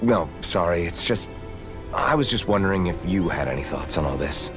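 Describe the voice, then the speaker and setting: Low-voiced, steady young swordsman. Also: normal speed. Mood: easygoing, sincere. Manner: plain-spoken, reassuring. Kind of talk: video game voice acting. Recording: music in background. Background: background music.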